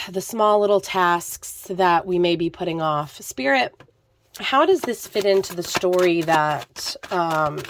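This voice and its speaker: Slightly hoarse woman giving a relaxed tarot reading. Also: on the low side, dry recording. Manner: meandering, many fillers, addresses viewer directly. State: slightly hoarse from a lingering cold. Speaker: tarot reader. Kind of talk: casual spoken tarot reading to camera.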